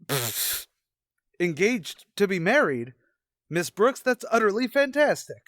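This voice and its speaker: A man's voice: high-pitched, sing-song, slow, a bit bright, no echo, studio quality, heavily acted. An actor playing an earnest, naive male teacher, easily flustered and literal-minded, in an old-time radio comedy.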